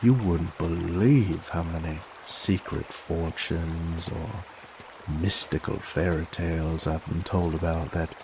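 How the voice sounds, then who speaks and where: A very low, gravelly cowboy bounty hunter with a wolfish side , acted by a man, gruff and cocky in an American Western drawl. He takes his time, taunting in folksy, profane phrases for an audio drama. Clear background noise sits behind the voice. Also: full character voice. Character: gruff, cocky male werewolf bounty hunter of the Old West. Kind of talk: dramatic roleplay audio monologue.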